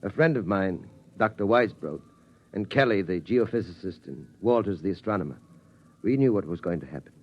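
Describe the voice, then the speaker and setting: A man playing a weary, steady father who tells his family how the world ended, in slow, solemn 1950s radio drama style. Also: low-pitched. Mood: somber. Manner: slow, solemn storytelling cadence. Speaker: father telling the family story. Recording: dull 1950s radio broadcast sound.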